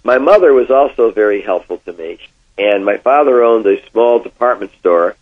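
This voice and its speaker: Older American male interviewee, husky voice, medium pitch, reminiscing anecdotally over a thin phone-quality line. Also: expressive, normal speed. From Connecticut, United States. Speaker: interviewee, former oil executive. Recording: thin, phone-like band-limited sound.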